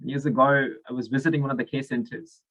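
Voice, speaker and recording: A man's voice: mid-range voice, little pitch movement, fast, a bit bright, rough recording. A man with a South African accent, speaking thoughtfully at a conference over a video call, with many fillers and restarts.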